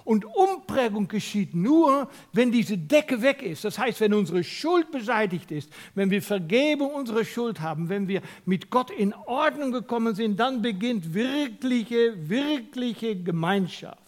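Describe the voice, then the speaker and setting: A man from the Netherlands with a Dutch accent, preaching a sermon to a congregation. He speaks emphatically, asks his listeners questions, and drops from loud to a near whisper. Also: very high voice, sing-song, measured pace, hardly any pauses.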